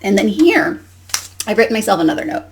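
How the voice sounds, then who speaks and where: A woman's voice: mid-range voice, very animated, fairly quick, noisy background. A woman giving an instructional demonstration as a teacher educator, explaining and modelling questions as if to young pupils.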